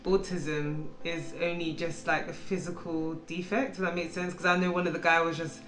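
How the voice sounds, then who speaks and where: A woman's voice: lowish voice, flat delivery, normal speed, fairly bright tone, roomy sound, soft background music, low-quality recording. A woman chatting casually with a friend as they react to a video, thinking aloud and hesitant. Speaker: panel viewer. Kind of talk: casual chat.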